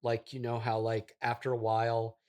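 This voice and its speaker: A man playing an affluent, self-important app developer as a deadpan guest on a comedy interview podcast. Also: medium pitch, natural voice. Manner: pompous, deadpan, condescending, deliberate.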